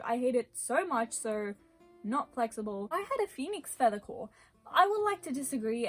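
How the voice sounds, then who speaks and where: A woman chats to camera in a casual vlog, her voice sitting a little higher than most. She drifts from thought to thought and teases herself along the way. Soft music plays faintly underneath. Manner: rambling, self-deprecating, thinking aloud. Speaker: vlogger. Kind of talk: casual personal vlog monologue.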